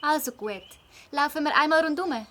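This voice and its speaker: Schoolgirl sleuth played by a woman, Swiss German, high-pitched and crisp-edged, earnest and insistent, for a kids' radio play. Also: moderate pace, fairly bright tone. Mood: cautious. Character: earnest, indignant schoolgirl detective, cautious. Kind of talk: children's audio drama acting.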